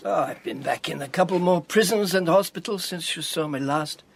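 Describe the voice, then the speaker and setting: An actor playing a shrewd, worldly spy handler in a radio drama, with a husky, breathy voice and a measured, theatrical, commanding manner. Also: male voice, a little high, background noise. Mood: intimate, persuasive.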